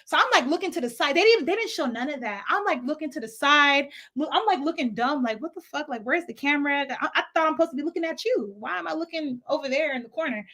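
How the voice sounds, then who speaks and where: A woman's voice: high voice, fast, hardly any pauses. A young woman with a faint Nigerian accent telling a chatty, rambling storytime vlog full of asides and swearing. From San Francisco Bay Area, US. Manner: chatty, animated, digressive, profane. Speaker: vlogger.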